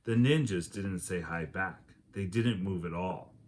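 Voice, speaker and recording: Low-voiced man reading a children's book aloud. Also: a little slow. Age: adult. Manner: expressive, acts out dialogue.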